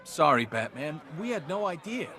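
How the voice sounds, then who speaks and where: A man's voice: on the high side, sing-song, normal speed. Earnest policeman, crowd behind. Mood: apologetic. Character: apologetic, earnest male police officer. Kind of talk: video game voice acting.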